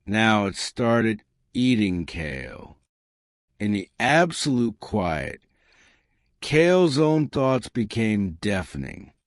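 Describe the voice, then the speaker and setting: Slow, low-voiced man narrating a solemn story. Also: big pitch swings, no echo, clean studio sound. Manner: solemn, deliberate. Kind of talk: dramatic scripted story narration.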